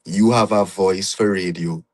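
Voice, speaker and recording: A young man with a Trinidadian accent and a fairly low voice, talking through his journey as a guest on a podcast. He speaks in a reflective, storytelling way, peppering his thoughts with "you know". The conversation is casual and unhurried. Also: steady tone.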